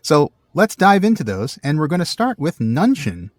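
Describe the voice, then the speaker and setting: A man's voice: on the high side, very animated, normal speed, no room sound, clean studio sound. A man co-hosting a podcast, talking casually and playfully, with a bookish bent for explaining words. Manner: playful, jokey. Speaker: podcast co-host. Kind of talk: casual banter and word-history explanation.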